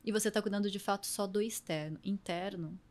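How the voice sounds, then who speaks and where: A woman with a Brazilian Portuguese accent speaks as a nutritionist guest in a relaxed talk show. Her pitch sits at an ordinary middle, and she explains with emphatic, repetitive phrasing. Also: fairly quick. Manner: explanatory, emphatic, repeats key points. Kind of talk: casual conversational interview.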